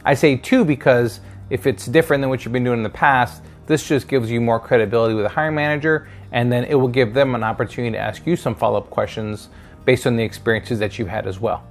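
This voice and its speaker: A man's voice: mid-range voice, fast, soft background music. A middle-aged man presenting instructional advice straight to viewers, explaining step by step and checking in with short tag questions. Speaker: career advice video host, sales hiring manager.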